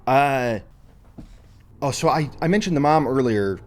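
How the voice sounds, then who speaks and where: Male podcast host, casual true crime chat, very lively intonation, slangy and emphatic. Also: medium pitch, measured pace.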